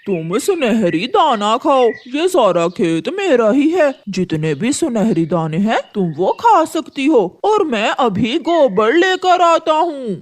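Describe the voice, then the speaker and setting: A woman plays a greedy male crow farmer from a kids' cartoon. He scolds and bullies in a high voice that swoops widely, forever insisting on what is his. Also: big pitch swings, takes it slowly, few pauses. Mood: greedy, eager. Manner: scolding, possessive, emphatic. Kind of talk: children's cartoon character voice.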